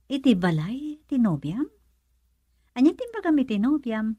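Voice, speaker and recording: A woman's voice: a little high, a little slow, husky, dry recording, lo-fi sound, full character voice. An actress playing an emotional young woman, a questioning close friend, with a Filipino accent in a radio drama. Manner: expressive, probing with many questions.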